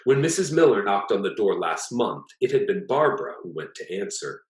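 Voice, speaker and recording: A man with a very low voice reads a piece of literary fiction aloud. His delivery is measured and plain, like an author presenting his own story, and the room around him echoes noticeably. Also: very animated. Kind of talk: literary story reading, scripted narration. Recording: echoey, roomy sound.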